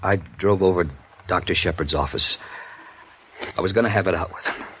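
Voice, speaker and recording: An actor playing a desperate, hot-tempered jealous husband in a 1950s radio drama, husky, blunt and overwrought, with an American accent. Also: male voice, deep voice, a little fast, sharp tone, a little hiss or hum. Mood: broken, despairing. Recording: vintage radio drama recording.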